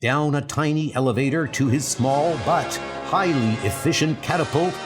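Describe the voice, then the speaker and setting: A man's voice: a little high, normal speed, over music. A man reading dramatic scripted narration for a comic book story.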